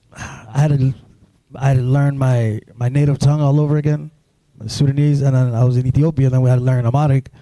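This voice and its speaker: A man telling his life story as a guest on a live stream, halting and filling gaps with "you know" and "um". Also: medium pitch, mellow, dry recording, low-quality recording. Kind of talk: personal storytelling in an interview.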